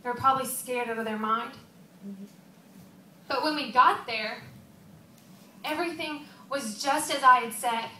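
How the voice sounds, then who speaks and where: A woman performs as a devoted female disciple in mourning, telling her story as an emotional stage monologue. Her voice is high and bright, earnest and confiding. It is picked up at a distance in a roomy, echoing space. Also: heavily acted. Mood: bewildered, confiding. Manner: earnest, emotional, confiding, with pauses and rhetorical questions. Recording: roomy, distant mic, faint background noise.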